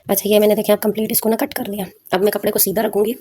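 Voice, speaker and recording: A woman's voice: mid-range voice, little pitch movement, very fast, mellow. Casting: female craft tutorial host, mid-range voice, sore throat, conversational step-by-step delivery, noisy recording with clicks. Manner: conversational, addresses viewers with frequent check-in tags. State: sore throat, frequent throat clearing.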